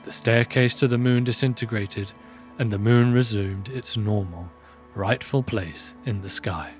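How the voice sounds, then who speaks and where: A man's voice: on the low side, a little slow. Man narrating a fantasy story over music. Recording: music bed under voice.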